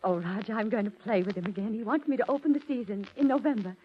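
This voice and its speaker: An actress playing a fragile, high-strung wife in a 1940s radio drama, theatrical and pleading. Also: female voice, quick pace, a little hiss or hum, lightly acted. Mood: eager, brittle. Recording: muffled vintage 1940s radio recording.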